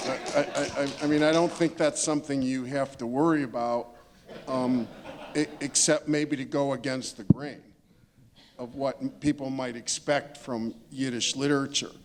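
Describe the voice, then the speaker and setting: A man's voice: medium pitch, fairly even intonation, moderate pace. A man with a mid-range voice speaks on an informal panel. He is discursive and anecdotal, thinking aloud and rewording his sentences as he goes.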